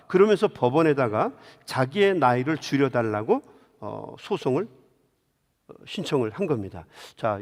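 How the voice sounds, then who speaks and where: A man preaching a sermon as a pastor, telling stories and putting rhetorical questions directly to his congregation in a church. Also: medium pitch. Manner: engages listeners with direct questions. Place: large indoor church sanctuary. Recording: long hall reverb of a church.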